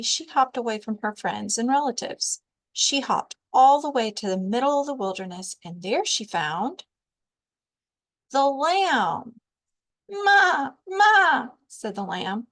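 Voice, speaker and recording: A woman reads a picture book aloud for children in the manner of a library storyteller. Her voice is on the high side, and she takes it slowly, shaping the narration with deliberate expression.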